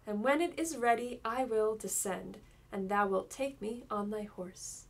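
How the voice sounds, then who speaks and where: A woman voicing a gentle, naive young maiden in a fairy tale, speaking softly and lightly. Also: medium pitch, a little slow, recorded from a distance.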